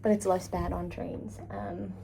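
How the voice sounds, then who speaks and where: A woman's voice: lowish voice, very animated, unhurried, gravelly, dark tone, slight background noise, low-quality recording. A young woman from Labrador, Canada, chatting casually in a travel vlog, rambling and self-deprecating, hot and tired. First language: English. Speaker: travel vlogger.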